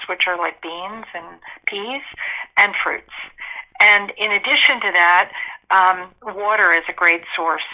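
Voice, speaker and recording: A woman's voice: mid-range voice, bright, forceful tone. A woman giving informative, emphatic explanations as a nutrition expert in a telephone interview, backing her points with figures and examples. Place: telephone call. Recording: dull lo-fi telephone interview audio.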